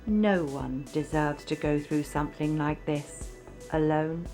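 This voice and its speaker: A woman's voice: deep voice, steady tone, a little slow, mellow, soft background music. A woman acting an earnest, impassioned abuse support worker in a radio drama, speaking with pleading emphasis.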